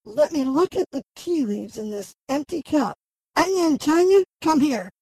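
A woman playing a brisk, grand, eccentric sorceress who commands others in a fantasy audio drama. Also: high-pitched, expressive, a little mellow, no room sound, poor audio. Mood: commanding.

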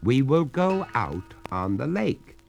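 A man voicing a polite, firm young gentleman who is dryly amused. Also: medium pitch, sing-song, takes it slowly, vocal fry, over music, voice pushed up, exaggerated voice. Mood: courteous. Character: courteous, stubborn young gentleman.

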